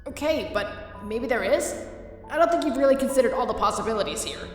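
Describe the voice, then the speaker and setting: A woman's voice: a little high, animated, brisk, close to an everyday voice. A woman voicing an earnest, boastful, caring young male skeleton in a dramatic comic dub, grandiose and insistent in manner. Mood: gentle, persuading. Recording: echoey hall, distant, faint music.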